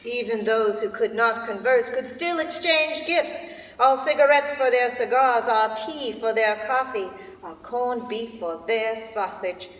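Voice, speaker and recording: A woman's voice: a little high, measured pace, fairly bright tone. A woman reading the part of a reflective young British soldier telling his story in a letter, in staged reader's theater. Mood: storytelling. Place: large theater stage indoors. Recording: echoey hall, distant stage mic.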